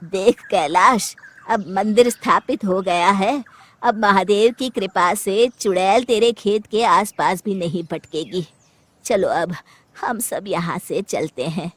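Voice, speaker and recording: Woman voicing a slow, authoritative village headman. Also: medium pitch. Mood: reassuring. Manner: scolding, emphatic, authoritative.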